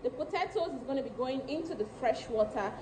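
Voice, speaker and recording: A woman's voice: high-pitched, lively intonation, a little fast, darkish tone. A woman in her thirties, a cooking vlogger, chatting straight to viewers as she walks through a recipe at home. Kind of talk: casual cooking walkthrough. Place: home kitchen. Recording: echoey kitchen with background noise.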